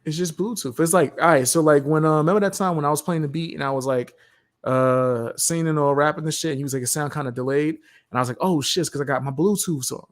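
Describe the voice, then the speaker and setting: A man's voice: a little high, fast talker. A man in casual chat about home recording, laid-back and slangy, swearing freely. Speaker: streamer.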